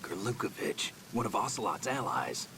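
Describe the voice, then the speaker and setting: An actor playing a tough, wary American special-ops infiltrator in a video game, speaking in a terse, commanding way. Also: male voice, on the low side, very animated, moderate pace, noisy background. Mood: grim, terse. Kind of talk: dramatic video game voice acting.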